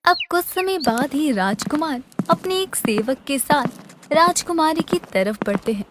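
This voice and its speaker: धीमी गति से कहानी सुनाती महिला. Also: female voice, high voice, lively intonation, unhurried, background noise. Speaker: narrator. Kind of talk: scripted fairy tale narration.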